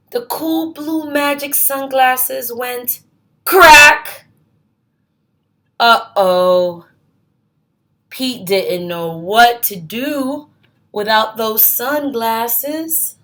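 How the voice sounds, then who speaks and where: A woman reads a children's storybook aloud in a high voice, very slowly. She is expressive and pauses the narration to speak simply to her young listeners. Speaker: read-aloud host.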